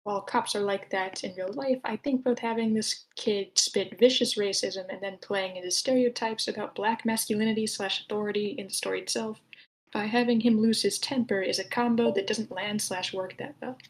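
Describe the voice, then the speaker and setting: A woman's voice: mid-range voice, steady tone, moderate pace, rough recording. A woman hosting an online script meeting, talking informally with a wry, rambling manner and sometimes reading out written comments. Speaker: host moderating an online script table read. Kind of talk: casual meeting moderation, reading out chat comments. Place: online live meeting room.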